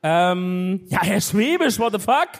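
A man hosting a live podcast show on stage, loud and joking. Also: high voice, sing-song, noisy background. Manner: loud, joking, drawn-out exclamations. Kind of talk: live comedy podcast banter. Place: large sold-out concert hall. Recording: live hall recording with crowd.

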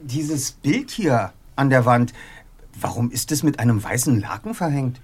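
An actor playing the sharp leader of a teenage detective trio in a radio play, asking polite, probing questions. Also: male voice, medium pitch, expressive, measured pace, breathy edge. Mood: inquisitive.

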